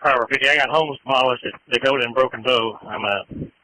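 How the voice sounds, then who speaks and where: A man's voice: mid-range voice, fast, pushed and bright, rough recording. A man giving a terse report as a police officer over two-way radio. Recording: faintly hissy police radio.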